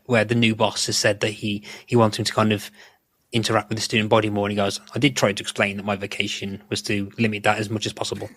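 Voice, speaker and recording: A man's voice: lowish voice, level tone, fast talker, a bit bright, no echo. A man chatting casually as a podcast guest, rambling and swearing freely.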